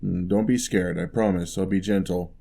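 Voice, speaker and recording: A man playing a huge, gentle, courteous giant in an ASMR roleplay, speaking softly with wry, teasing, old-fashioned politeness. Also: low voice, fairly even intonation, creaky. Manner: unhurried, soft-spoken, old-fashioned courteous phrasing.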